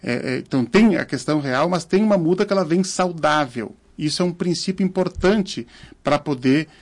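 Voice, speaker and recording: A man in his fifties with a southern Brazilian accent, answering interview questions earnestly and haltingly, repeating words as he searches for phrasing. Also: on the high side, lively intonation. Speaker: interview guest, organic farmer.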